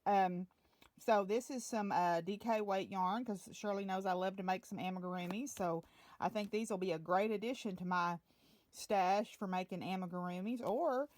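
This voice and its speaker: A woman's voice: medium pitch, normal speed, a little mellow. A woman chatting casually in a home vlog, rambling along with plenty of fillers. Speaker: vlogger.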